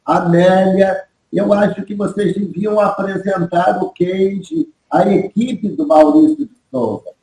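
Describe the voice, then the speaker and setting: Halting male host, mellow and echoey. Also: high voice. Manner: halting, rephrasing questions. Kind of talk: online interview.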